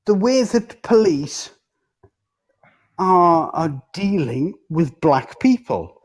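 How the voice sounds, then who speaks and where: A man's voice: high-pitched, very animated, takes it slowly. A man in a heated casual debate, speaking insistently, cutting in and swearing freely.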